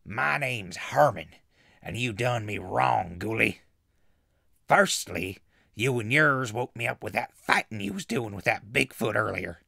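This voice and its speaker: A raspy, forceful hillbilly hermit in a post-apocalyptic radio comedy. He has a rural Southern accent and threatens in folksy, broken grammar, with lively swings of pitch. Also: a little slow, no echo, clean studio sound, heavily acted. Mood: menacing. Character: hot-tempered backwoods hillbilly hermit with an old rifle. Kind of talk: post-apocalyptic comedy audio drama acting.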